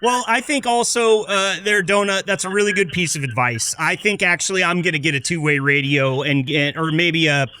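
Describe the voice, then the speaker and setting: A man's voice: high-pitched, moderate pace, hardly any pauses, sharp tone, a little hiss or hum. An American man hosting a casual podcast interview in a chatty, informal and affirming way. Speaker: podcast host.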